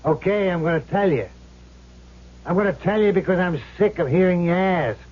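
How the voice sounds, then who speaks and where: An actor playing the ghost of a brash, wisecracking gangster in a 1970s radio drama, talking in tough, curt, bossy slang. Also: male voice, high voice, normal speed. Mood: brash, impatient. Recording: muffled old radio broadcast.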